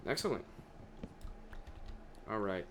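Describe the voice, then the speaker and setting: A young man chatting casually over his gameplay, his voice deeper than usual and talking to himself as he plays. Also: lively intonation, takes it slowly, fairly bright tone, a little hiss or hum. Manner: chatty, talks to himself while playing. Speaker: gaming video creator.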